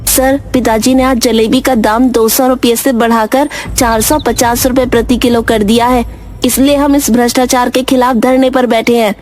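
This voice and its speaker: Cartoon casting: female actor as stubborn little schoolboy, high-pitched, righteous, declamatory delivery. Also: little pitch movement, moderate pace, a little mellow, faint music behind, lightly acted. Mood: defiant, righteous. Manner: earnest, defiant, declamatory. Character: stubborn principled schoolboy, idealistic young son. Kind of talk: Hindi cartoon moral-story voice acting.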